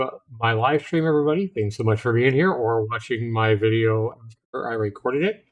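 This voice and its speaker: A man hosting a live stream, chatting casually and reading on-screen text aloud as he comments on a game. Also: medium pitch. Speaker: live streamer, show host. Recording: music under the voice. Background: music.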